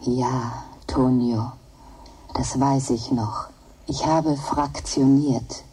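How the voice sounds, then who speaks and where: An actress playing a placid, devoted female computer in a German radio drama, speaking in a measured, deliberate way. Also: a bass voice, steady tone, distant mic, noisy background, exaggerated voice. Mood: matter-of-fact.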